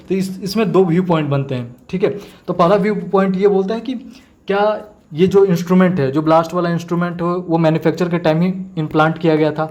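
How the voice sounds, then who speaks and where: Higher-pitched man teaching informally. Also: darkish tone. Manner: frequent check-in tag phrases, mixes in English terms. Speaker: exam-prep teacher. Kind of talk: informal explanatory current-affairs lecture.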